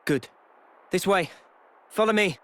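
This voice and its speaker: A man voicing a calm, serious young squad leader in a game cutscene, speaking in a measured, earnest way. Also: sits high. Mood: focused. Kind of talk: video game cutscene acting.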